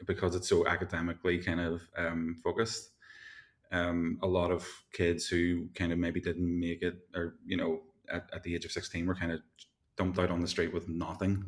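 A man, chatting as an interview guest over a video call, rambling with lots of fillers and laughs. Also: sits low. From Ireland. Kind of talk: casual interview chat.

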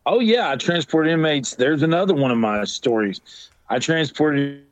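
Laid-back jokey co-host guy, mid pitch, dull lo-fi call audio, dry. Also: animated, moderate pace, no echo. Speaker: podcast co-host. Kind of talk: casual podcast chat. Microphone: remote call.

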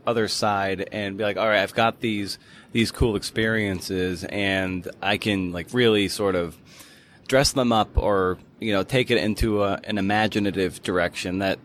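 A man's voice: on the low side, steady tone, normal speed, a bit bright, slight background noise. A man hosting a podcast interview in casual chat, with informal, roundabout phrasing as he works toward his questions.

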